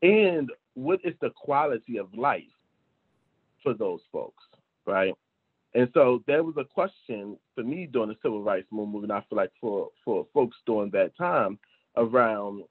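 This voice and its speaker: A man in his thirties with a husky voice talks earnestly on an online panel. He often checks his points with a tag question and poses rhetorical questions. The sound is narrow and phone-like, from a video call. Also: on the low side. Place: small indoor room, at a desk. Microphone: video call computer microphone.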